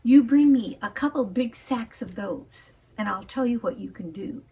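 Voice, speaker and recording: A woman voicing a kindly, patient male painter who mentors a boy, speaking in a gentle, encouraging, half-whispered storytelling manner. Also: extremely high pitch, moderate pace, slight background noise, poor audio. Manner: gentle, encouraging, soft-spoken.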